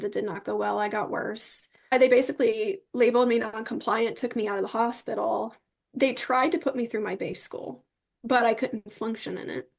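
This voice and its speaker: A woman's voice: on the high side, gravelly. A young woman earnestly and emphatically telling her personal story as an interview guest.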